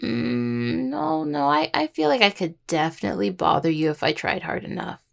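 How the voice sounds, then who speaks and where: Creaky-voiced, halting young necromancer played by a woman. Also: on the high side. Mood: teasing, affectionate. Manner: halting, stammering, apologetic. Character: grieving young female necromancer, tender, apologetic, affectionate partner. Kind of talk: intimate audio roleplay addressed to the listener.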